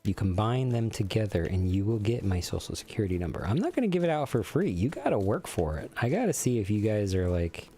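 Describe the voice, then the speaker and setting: A man's voice: fairly low pitch, sing-song, brisk, hardly any pauses, dark tone, faint music behind. Joking forty-year-old man streaming games. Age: 40s. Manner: joking, crude, mock-serious banter. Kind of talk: casual gaming stream chat.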